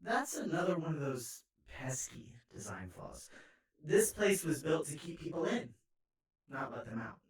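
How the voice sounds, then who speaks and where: A man's voice: fairly high pitch, exaggerated voice. A man playing a smug, murderous artificial intelligence in an audio drama, chatty and taunting, with an echoing machine treatment. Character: smug rogue station AI, gleefully murderous, theatrical. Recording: echoing, distant-sounding.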